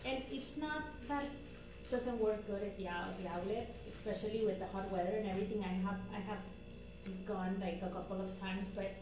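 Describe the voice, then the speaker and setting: A woman's voice: medium pitch, moderate pace. A woman talking casually in a meeting discussion. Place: large indoor conference room, far microphone. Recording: distant echoey room, noisy background.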